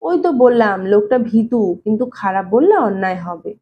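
A woman's voice: medium pitch, animated, normal speed, muffled recording, a little hiss or hum, voice pushed down, lightly acted. Woman voicing a defensive, evasive young bachelor. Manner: evasive, protesting, deferential. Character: defensive, reluctant younger bachelor man, evasive but respectful. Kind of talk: audio story dialogue reading.

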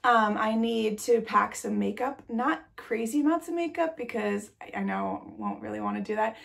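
A woman's voice: on the high side, sing-song, moderate pace, vocal fry. A young woman in her twenties, congested and chatty, talking to camera in a nursery room. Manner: chatty, with many "like"s and light laughs. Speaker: vlogger. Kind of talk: casual chat to camera.

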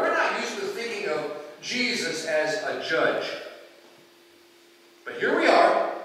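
An older man preaching a sermon as a pastor, measured and deliberate, in a church sanctuary. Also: sits high, bright, forceful tone. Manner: measured, deliberate, with rhetorical questions and pauses. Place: large church sanctuary, indoors. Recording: very echoey church hall, distant microphone.

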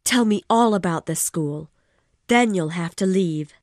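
Man doing a shrill, furious noblewoman. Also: a little slow, no echo, studio quality. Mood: upset. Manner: loud, shrill yelling. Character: angry, heartbroken noblewoman. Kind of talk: audiobook reading.

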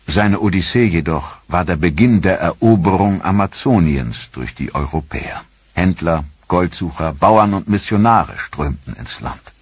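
Male documentary narrator, low husky voice, dry studio read, measured and grave. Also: no room sound.

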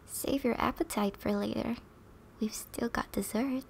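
A woman playing a flirty, teasing, affectionate wife in an ASMR roleplay, soft and often breathy, with playful, intimate delivery. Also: fairly high pitch, a little slow.

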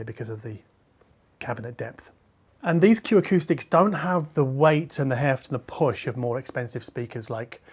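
A middle-aged man, a reviewer talking conversationally to camera in a measured, explanatory way, qualifying his points as he goes. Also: medium pitch, slightly rough. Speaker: hi-fi reviewer and video host. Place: medium-sized living room listening space, indoors.

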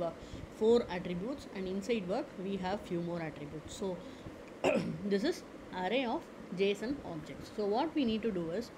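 A woman teaching a screen-recorded software lesson, explaining step by step with frequent "okay" and "uh" fillers. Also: mid-range voice, background noise. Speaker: tutorial instructor. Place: at a computer.

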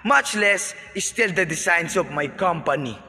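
Man playing a sharp, high-voiced haughty father. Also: expressive, a little slow, heavily acted. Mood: indignant. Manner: scornful, pompous. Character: cold, haughty, sexist father and company owner. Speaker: voice actor in a radio drama. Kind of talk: radio drama acting. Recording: long echoing reverb.

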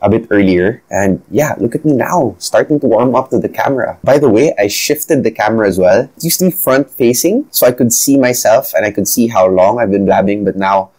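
Solo podcast host: Filipino-accented man, 30s, slightly low voice, reflective and chatty, lapel mic in a small room. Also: brisk, hardly any pauses, darkish tone, rough recording. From Philippines. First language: Bisaya. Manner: reflective, conversational, addresses viewers as guys. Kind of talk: solo talk to camera. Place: small indoor room at a desk.

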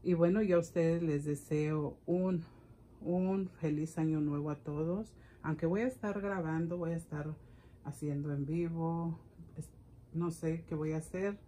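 A woman's voice: deep voice, steady tone, a little slow, dark tone, slight background noise. A middle-aged woman chatting casually for a vlog, rambling and repeating her words. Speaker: vlogger. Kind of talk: casual gift-haul vlog chat. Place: indoors in a home room.

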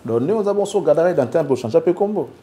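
A man with a Congolese French accent hosting a studio interview, asking brief, low-key questions. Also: animated, fast talker, warm tone. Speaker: interviewer, host.

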